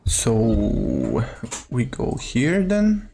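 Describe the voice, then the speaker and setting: Male streamer, very slow and gravelly, teasing casual game commentary, faint music behind. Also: mid-range voice, wildly swooping pitch, dark tone. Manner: informal, teasing.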